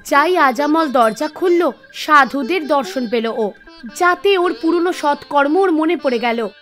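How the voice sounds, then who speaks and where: A woman narrates a scripted devotional story in a high-pitched voice. Soft background music runs under her storytelling. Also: animated, a little slow.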